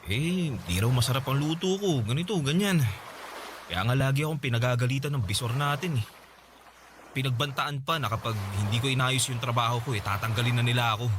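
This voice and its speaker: A man's voice: mid-range voice, fairly quick, background noise, lightly acted. A man playing a tired, easily irritated young husband and father in a Tagalog radio drama, hesitant and pleading. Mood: dejected, apologetic. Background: music.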